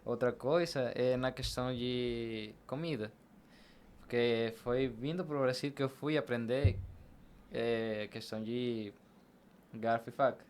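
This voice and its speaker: Clear background noise sits around a man of middling pitch. He is a native Spanish speaker with a Venezuelan accent, talking conversationally as a guest in an interview. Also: steady tone, takes it slowly, a little mellow. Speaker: podcast guest, barber. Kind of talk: conversational interview answers, personal anecdotes.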